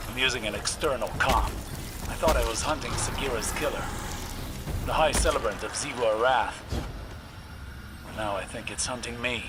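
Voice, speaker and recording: A weary warlock hero from a video game speaks over a comm with loud noise. His mid-pitched voice is grave and measured, with urgency underneath. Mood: guarded, urgent. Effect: radio comm transmission. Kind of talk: video game dialogue acting.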